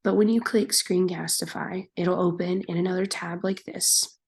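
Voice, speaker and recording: Hoarse woman teacher, low gravelly half-whisper, apologetic and patient with students. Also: fairly even intonation, moderate pace, darkish tone. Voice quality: raspy, breathy, half-whispered. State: hoarse from being sick, voice raspy. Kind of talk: recorded class instructions.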